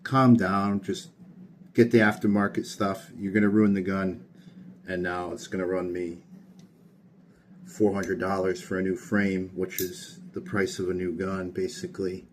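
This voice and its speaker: A tired man with a very low, monotone voice talks his way through a workshop project, rambling and dropping fillers as he goes. A faint hum of background noise sits under his voice. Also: measured pace. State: tired late at night. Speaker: hobbyist gunsmith. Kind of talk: casual workshop walkthrough. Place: home workshop.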